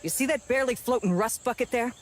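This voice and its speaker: A woman playing a flamboyant, self-important film director in a video game, gushing, theatrical and bossy as she talks fast. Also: fairly high pitch, a little hiss or hum, close to an everyday voice. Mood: pushy, effusive. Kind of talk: video game cutscene acting.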